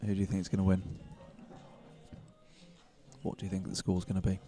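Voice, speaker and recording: A deep, dark-toned man commentates pool in a relaxed, chatty way. His soothing voice stays fairly flat, with faint music underneath. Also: a little fast. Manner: relaxed, chatty and self-deprecating. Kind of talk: live stream commentary chat.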